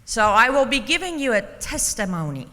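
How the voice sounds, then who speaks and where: Woman from iran, native persian speaker, giving testimony at a conference, sharp voice, long pauses, hall reverb. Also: fairly high pitch, big pitch swings. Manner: deliberate, emphatic, with dramatic pauses. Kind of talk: personal testimony to an audience. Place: conference hall, public address.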